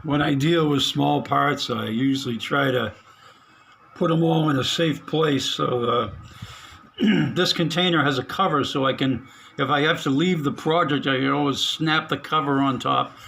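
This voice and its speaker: A middle-aged man casually narrating his radio repair in a small spare room at home, halting with fillers and soft chuckles. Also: fairly high pitch, gravelly, a little hiss or hum. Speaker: radio hobbyist vlogger.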